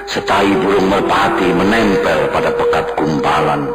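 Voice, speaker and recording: A man giving a solemn, contemplative poetry recital in a slow, devotional manner. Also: low-pitched. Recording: roomy echo with music clearly underneath.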